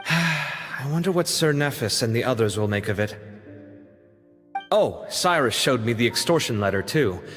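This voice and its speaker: A friendly, artistic young scholar for a video game. He talks in a chatty, expressive way with lively swings of pitch. Long reverb trails the voice and music plays behind. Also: a little slow, long pauses. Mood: chatty, curious. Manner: chatty, animated. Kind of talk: scripted video game voice acting.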